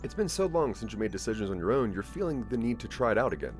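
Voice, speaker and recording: Low, expressive male story narrator. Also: quick pace, faint music behind. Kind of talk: scripted second-person audio drama narration.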